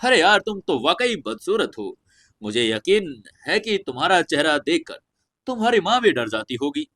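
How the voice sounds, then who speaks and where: Young male hero, performed by a male narrator: cocky, mocking, animated higher voice, audio fantasy serial. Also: moderate pace, heavily acted. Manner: mocking, sarcastic, brash.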